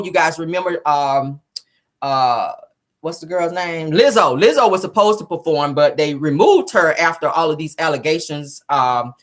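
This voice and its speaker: A woman hosting a casual gossip show, sassy and colloquial, repeating phrases for emphasis. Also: sits low. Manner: sassy, animated asides. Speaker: online show host. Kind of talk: casual celebrity gossip commentary.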